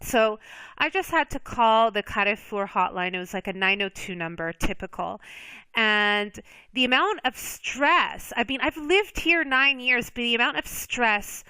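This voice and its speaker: A woman's voice: on the high side, animated, measured pace, sharp tone, smooth, no echo, poor audio. A woman talking casually to viewers in a reflective monologue, with lots of ums and restarts, who is a native English speaker. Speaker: vlogger. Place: Spain.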